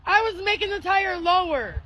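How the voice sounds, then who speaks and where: A woman's voice: very high-pitched, animated, moderate pace, lo-fi sound, a crowd in the background. A woman casually telling what happened to her, flustered and incredulous. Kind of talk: casual personal storytelling.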